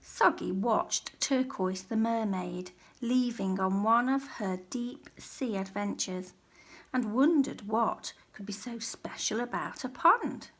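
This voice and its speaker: A woman reading a children's picture book aloud as a teacher, in a measured, expressive storytelling manner. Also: medium pitch. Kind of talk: storybook read-aloud, scripted narration.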